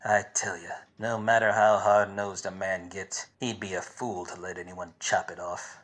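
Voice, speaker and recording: An actor playing a wise-cracking but obtuse hard-boiled private detective in a parody of 1940s radio drama, with wry, self-important delivery. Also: male voice, sits low, flat delivery, normal speed, fairly bright tone. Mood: wry, businesslike.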